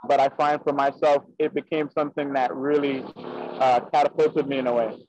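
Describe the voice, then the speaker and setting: A man's voice: medium pitch, moderate pace, noisy background. A young man from the Bahamas, a guest musician talking casually on a video call with many fillers. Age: young adult. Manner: relaxed, frequent fillers. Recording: dry, dull lo-fi call audio.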